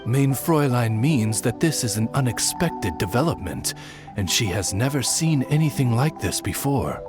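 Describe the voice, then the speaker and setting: A man's voice: medium pitch, lively intonation, normal speed, close to an everyday voice. A man voicing a formal, deferential raven retainer in a video game, polite and courteous. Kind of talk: video game character dialogue. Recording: music behind.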